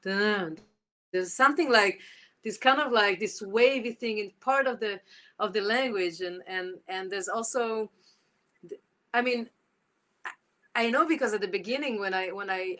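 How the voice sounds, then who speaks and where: A woman's voice: medium pitch, fairly bright tone. A woman in her forties with an Israeli accent, a guest musician talking casually in an interview, rambling with many restarts and repeated words.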